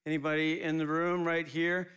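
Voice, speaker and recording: A man's voice: on the high side, flat delivery, moderate pace, background noise. A man hosting a live church service, upbeat and rallying the crowd. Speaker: church host.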